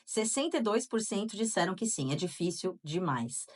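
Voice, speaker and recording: A woman's voice: mid-range voice, very animated, normal speed. A Brazilian woman co-hosting a casual podcast, chatting and reading out listener answers, with frequent tag questions. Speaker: podcast co-host.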